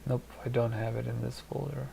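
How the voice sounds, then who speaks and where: A man from Germany with a German and Polish accent talks casually to his live chat from a home desk, thinking aloud with plenty of fillers. His voice has a gravelly, creaky edge at an ordinary pitch. A faint hiss sits behind him. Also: little pitch movement, brisk, dark tone. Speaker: streamer answering chat. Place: small home studio at a desk.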